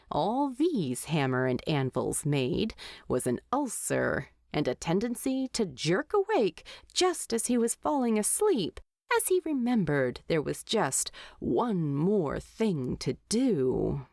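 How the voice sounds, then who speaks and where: Woman narrating a story with lively, swooping intonation. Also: medium pitch, no room sound, clean studio sound. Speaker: fan fiction narrator.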